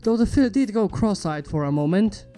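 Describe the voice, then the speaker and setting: A woman's voice: mid-range voice, lively intonation, a little fast, dark tone. A woman reading a scripted fan fiction story as its narrator. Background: background music.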